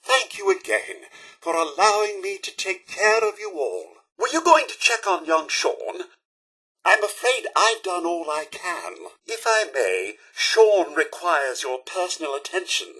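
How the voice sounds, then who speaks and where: A fussy British robot butler for a video game, prim and devoted. The voice sits high and swings widely in pitch, with a bright, forceful edge. The phrasing is formal and deferential, with dry understatement. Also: male voice, big pitch swings, measured pace, breathy edge, exaggerated voice, poor audio. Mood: devoted, concerned. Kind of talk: video game companion voice lines.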